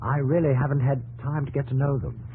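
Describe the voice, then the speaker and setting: An actor playing a confident but guarded, homesick young English engineer with polite, clipped answers in a 1950s radio drama. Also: male voice, medium pitch, steady tone, brisk, narrow, tinny audio, natural voice. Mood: reserved. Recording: 1950s radio, faint noise and hum.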